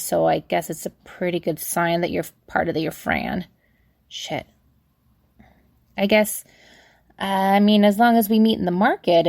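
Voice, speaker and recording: A woman's voice: on the low side, gravelly, a little mellow, no room sound, clean studio sound. A young woman playing a flustered, chatty witch in an audio drama, rambling awkwardly and correcting herself as she dictates a letter. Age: young adult. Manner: rambling, awkward, self-correcting, sighing asides. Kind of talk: audio drama monologue, conversational.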